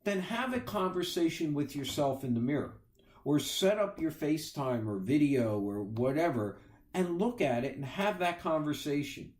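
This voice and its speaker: Halting, explanatory middle-aged man. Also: fairly high pitch, lively intonation, a little mellow. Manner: halting, often pausing mid-phrase and repeating words. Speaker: recruiter giving career advice as podcast host. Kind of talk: informal unscripted advice talk.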